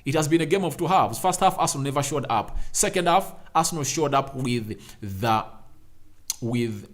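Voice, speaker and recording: A man's voice: mid-range voice, lively intonation, moderate pace, a bit bright. A man hosting a live football reaction show, reading out viewer comments and giving his views in an emphatic, repetitive way. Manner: emphatic, repeats phrases for effect.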